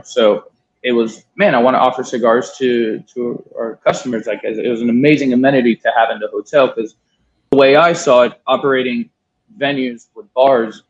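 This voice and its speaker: A relaxed man in his thirties from Miami tells his story in a loose, conversational way, dropping the odd swear word and acting out what others said. His voice sits in a middle pitch. The recording is dull and lo-fi. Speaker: guest, cigar importer. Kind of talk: casual storytelling interview. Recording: dull lo-fi sound.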